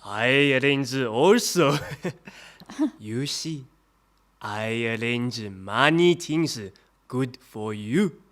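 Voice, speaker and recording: An actor playing a leering Japanese army colonel in an audio drama, speaking halting, insinuating English with a Japanese accent. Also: male voice, mid-range voice, fairly bright tone. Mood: smug, ingratiating.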